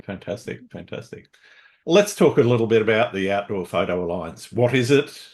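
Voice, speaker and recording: A man hosting an interview podcast, reading a scripted intro and then asking relaxed questions. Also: fairly low pitch, normal speed. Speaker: podcast host.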